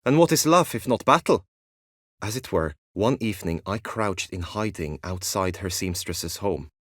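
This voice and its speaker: A man's voice: low voice, animated, moderate pace, a bit bright. A man playing an earnest, lovestruck young knight in a fantasy video game, speaking in formal, archaic, courtly phrases. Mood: proud, romantic. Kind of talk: video game voice acting, medieval fantasy drama.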